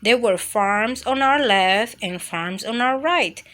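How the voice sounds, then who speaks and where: Woman as naughty dog narrator, storybook, hungry for adventure, bright clear-cut tone, slightly high pitch. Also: slow. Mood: cheeky, excited. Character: mischievous, adventure-hungry dog telling its own escapade. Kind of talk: storybook first-person narration.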